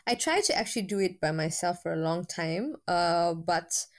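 A woman's voice: lowish voice, lively intonation, moderate pace. A woman lecturer, a guest on a podcast, speaking candidly with frequent fillers. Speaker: university lecturer with a PhD. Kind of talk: interview guest.

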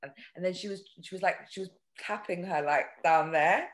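A woman with a husky voice telling a dating story in casual chat, full of restarts. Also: normal speed. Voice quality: husky, lower voice. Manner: animated, hesitant restarts.

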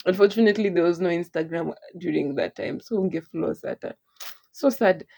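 A Kenyan woman co-hosting a podcast in casual, lively chat, laughing often as she talks. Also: on the low side, fairly quick, gravelly. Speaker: podcast co-host. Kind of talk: casual chat, code-switching.

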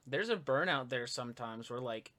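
A man hosts a relaxed interview, chatty and supportive of his guest. He talks in a mid-range voice and strings thoughts together with fillers. His speech is loose and conversational. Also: mid-range voice, normal speed. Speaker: interviewer, YouTube channel host. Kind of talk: casual interview chat.

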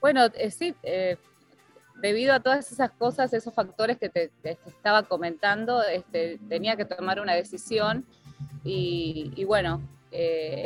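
An Argentine woman in her forties tells her personal story as a guest in a casual interview. She goes hesitantly, pausing often to find her words. There is faint music behind her. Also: medium pitch. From Bahía Blanca, Argentina. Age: 40s.

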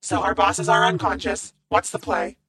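A woman voicing a dry, sardonic robot sidekick in a science fiction story, with a deadpan, creaky delivery. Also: medium pitch, moderate pace, a little hiss or hum, pitched below the performer's own voice, close to an everyday voice.

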